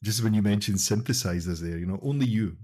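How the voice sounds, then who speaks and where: Male interviewer, deep and husky, easygoing and brief. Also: fast talker, a little mellow. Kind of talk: casual interview chat.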